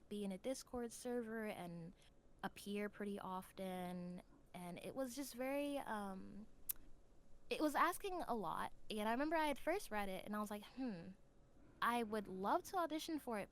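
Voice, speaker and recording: A woman chatting casually as an interview guest, earnest and reflective in how she talks. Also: mid-range voice. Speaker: podcast guest, new voice actor.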